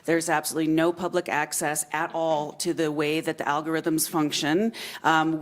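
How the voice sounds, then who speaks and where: A woman in the audience, asking the panel an earnest, concerned question. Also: low voice. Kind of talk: question from the floor.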